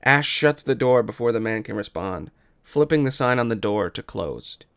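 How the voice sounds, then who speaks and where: Male narrator for a scripted table read, mid-pitched with a creaky, gravelly edge. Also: lively intonation, moderate pace, fairly bright tone.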